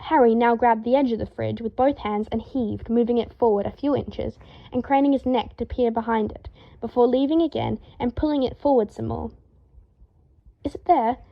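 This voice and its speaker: A woman reading a story aloud as narrator in an expressive, scripted style. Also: on the high side, brisk, creaky, dull audio. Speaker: fan fiction narrator.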